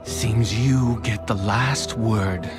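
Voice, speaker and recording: An actor playing a weary, menacing fallen prince in a video game, with a deliberate, sardonic delivery. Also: male voice, lowish voice. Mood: cold, sardonic. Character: fallen prince villain, male, weary, menacing, searching for his sister. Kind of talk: dramatic game cutscene acting. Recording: music behind the voice.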